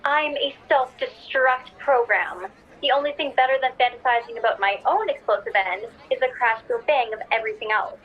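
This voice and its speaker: Woman as sarcastic, gleefully destructive ship computer, higher voice. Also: moderate pace, hardly any pauses, breathy edge, muffled recording. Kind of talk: sci-fi satire audio drama over video call. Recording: slightly noisy video-call audio.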